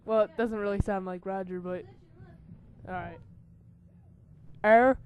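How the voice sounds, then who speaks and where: A man's voice: high-pitched, steady tone, moderate pace, gravelly, darkish tone. A man talking informally and slangily in a casual amateur home performance. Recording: noisy lo-fi home recording.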